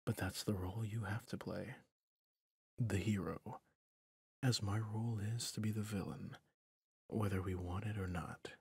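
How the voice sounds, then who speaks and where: A man playing a lonely, weary fantasy villain in an intimate ASMR roleplay, breathy and husky, often near a whisper, brooding and tender as he confides. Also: lowish voice, steady tone, dry recording, full character voice. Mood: resigned, confiding. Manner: slow, brooding, confiding with pauses.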